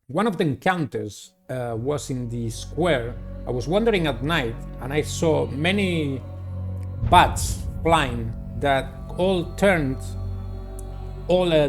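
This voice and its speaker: A man doing a playthrough of a visual novel, reading it aloud and commenting in a deliberate, halting way. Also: fairly high pitch. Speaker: gamer playing and narrating a visual novel. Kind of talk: dramatic read-aloud with casual playthrough commentary.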